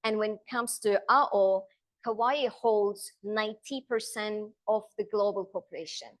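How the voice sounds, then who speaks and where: A woman from Turkey with a Turkish accent, a seabird conservation researcher giving a public lecture, earnest and explanatory, pausing on "um" and slowing down to stress key points. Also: mid-range voice, fairly even intonation.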